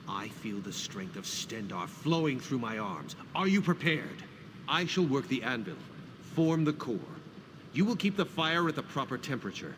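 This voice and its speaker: An actor playing a hearty, devout warrior smith who gives formal, emphatic encouragement in a video game. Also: male voice, medium pitch, natural voice. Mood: solemn, welcoming. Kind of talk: video game character dialogue. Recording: clear background noise of a game scene.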